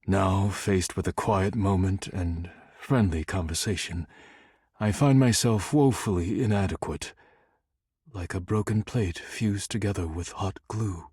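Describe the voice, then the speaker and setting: A stern, dutiful game character with a low, very husky voice. He speaks formally and precisely, every phrase stiff and measured, in a dry, close sound. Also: a little mellow, no echo. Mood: wistful, regretful. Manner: formal, measured, stiff diction without contractions. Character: stern, formal, work-obsessed man, devoted to porcelain. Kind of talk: video game character dialogue.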